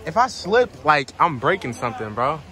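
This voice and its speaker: A young man vlogging casually with friends on a handheld phone camera, loud and joking, laughing a lot. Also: on the high side, very animated, moderate pace, a crowd in the background. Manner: loud, joking, trash-talking, laughing often. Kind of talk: casual hangout vlog with friends. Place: bowling alley, then woods outdoors.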